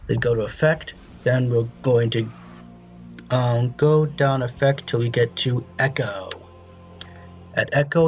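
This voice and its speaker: A man presenting a step-by-step software tutorial in a casual, instructional way. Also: mid-range voice.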